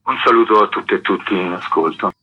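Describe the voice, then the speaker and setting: A man interviewed on radio as a book translator, explaining in a measured, academic way. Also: deep voice, slightly rough. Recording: dull, band-limited radio line.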